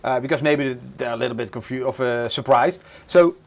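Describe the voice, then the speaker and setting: A man's voice: mid-range voice, fairly quick, vocal fry, darkish tone. A man with a Dutch accent hosting a live stream, talking casually with many fillers and restarts. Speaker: stream host.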